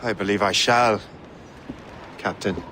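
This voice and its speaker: An actor playing a weary, wry sea captain in a video game cutscene, respectful and understated in manner. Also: male voice, on the low side, steady tone, slow pace, pushed and bright, slight background noise. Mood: dry, courteous.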